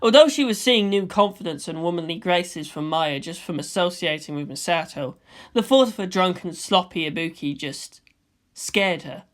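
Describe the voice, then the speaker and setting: A man's voice: high-pitched, animated, moderate pace. A man reading scripted fiction as the narrator.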